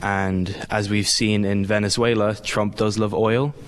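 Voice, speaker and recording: A young man from the Isle of Man speaks in a measured, confident way. His voice is low, and his pitch barely moves. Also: little pitch movement. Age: teens. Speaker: student guest.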